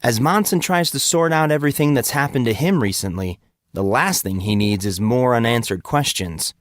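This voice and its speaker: Male host for serial intro, mid-pitched scripted read, close and dry. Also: lively intonation, moderate pace, dry recording. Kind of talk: scripted recap narration.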